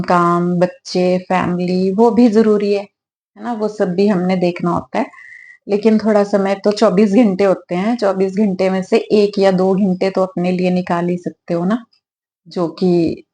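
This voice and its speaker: A woman with a slightly hoarse throat, clearing it now and then, talking gently and affectionately in a casual devotional vlog at her home altar. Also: mid-range voice. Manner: gentle, affectionate, preachy at times. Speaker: devotional vlogger.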